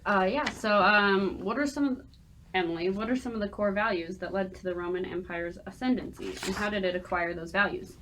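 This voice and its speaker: A woman's voice: medium pitch, brisk, fairly bright tone, slight background noise, rough recording. Young woman from Idaho asking questions. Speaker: student guest. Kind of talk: podcast questions.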